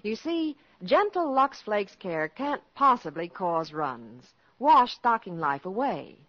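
A woman's voice: medium pitch, big pitch swings, takes it slowly, a bit bright, dull audio. A woman reading a scripted 1950s radio commercial on a vintage recording. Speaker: commercial spokeswoman. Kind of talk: 1950s radio advertising read.

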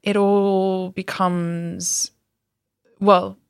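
A woman's voice: mid-range voice, little pitch movement, drawn-out words, smooth, no echo, clean studio sound. A woman, a yoga teacher and business owner, chatting casually and thoughtfully. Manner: chatty, thoughtful, trails off mid-sentence. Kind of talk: casual conversation.

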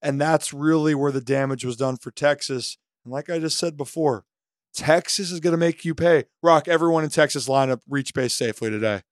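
Slightly hoarse man breaking down baseball. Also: a little high, a little fast, no echo, studio quality. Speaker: co-host. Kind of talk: sports podcast analysis.

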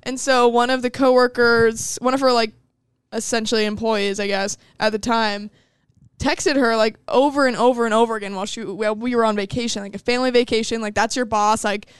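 A woman's voice: on the high side, fairly even intonation, a little fast, clear, resonant tone, no echo. A young woman co-hosting a podcast, telling a story in a casual, chatty way with lots of fillers and laughter, in a studio. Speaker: podcast co-host. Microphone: studio microphone.

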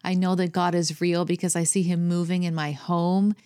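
A woman's voice: on the low side, flat delivery, normal speed, no room sound, clean studio sound. A woman in her fifties co-hosting a faith and family talk show, reassuring and conversational. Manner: warm, reassuring, lots of agreeing sounds. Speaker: podcast co-host. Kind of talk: casual chat.

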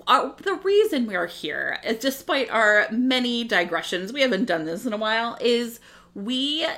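A woman's voice: a little high, very animated, moderate pace, fairly bright tone. A woman co-hosting a podcast, chatting casually in an enthusiastic, emphatic and colloquial way. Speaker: podcast co-host. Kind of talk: casual chat.

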